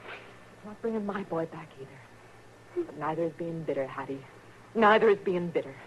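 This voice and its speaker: A woman's voice: on the low side, lively intonation, moderate pace, natural voice. An American woman playing a devout, sorrowful frontier mother in a radio western, speaking with earnest, soothing compassion. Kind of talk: 1950s radio western acting. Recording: noisy old radio recording.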